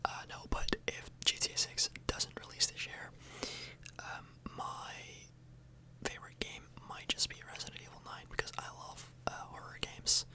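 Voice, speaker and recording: A woman speaks entirely in a soft, breathy whisper. She rambles casually in an ASMR style, hesitating and correcting herself as she chats to her viewers. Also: normal speed, low-quality recording. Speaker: ASMR YouTuber, host. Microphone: microphone a little further away than usual.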